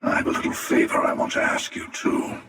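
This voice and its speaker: An actor playing a cold, world-weary dimension-hopping armored villain in a video game, menacing and sardonic, with a gravelly voice in an echoing effect. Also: male voice, sharp tone. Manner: menacing, sardonic, deliberate delivery. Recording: cavernous echo, long reverb, distant sound.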